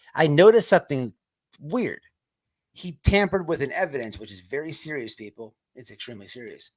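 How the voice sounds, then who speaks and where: A man hosting an online show with off-the-cuff livestream commentary, halting as he repeats words and restarts. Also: a little high, a bit bright, poor audio.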